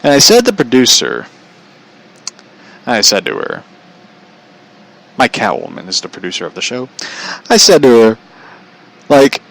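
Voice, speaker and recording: Bright-voiced man rambling through casual stories. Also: medium pitch, low-quality recording. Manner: foul-mouthed, incredulous. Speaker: podcast host telling stories.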